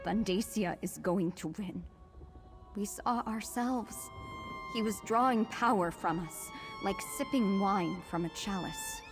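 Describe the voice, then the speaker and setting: A woman's voice: medium pitch, unhurried, over music, natural voice. A woman playing a troubled young woman with dangerous magic in a fantasy game, speaking in a grave, uneasy manner. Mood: fearful, defeated. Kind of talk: dramatic fantasy game acting.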